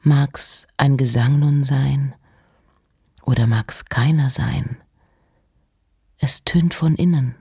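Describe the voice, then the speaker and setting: An actress playing an introspective nineteenth-century woman poet in a radio play, reciting in a breathy, hushed, deliberate way with pauses between short phrases. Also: a bass voice, full character voice. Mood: hushed, pensive. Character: Emily Dickinson, introspective 19th-century American woman poet. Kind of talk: radio play, poetic recitation of poems and letters.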